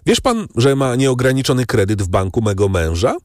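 A man voicing gossiping ladies and gentlemen at the opera in a raised, animated voice. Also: medium pitch, fairly quick, no room sound, studio quality, pitched above the performer's own voice, lightly acted. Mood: chatty.

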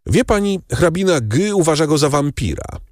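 A man voicing gossiping ladies and gentlemen at the opera in a raised, animated voice. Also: fairly high pitch, moderate pace, no room sound, studio quality, pitched above the performer's own voice. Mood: chatty.

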